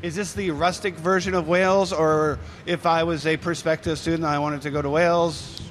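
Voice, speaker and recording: A man's voice: on the high side, level tone, a little fast, a little hiss or hum. A man hosting a live radio show from a convention fair, talking in a rambling, enthusiastic way with frequent restarts. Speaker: radio host. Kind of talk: casual live radio interview.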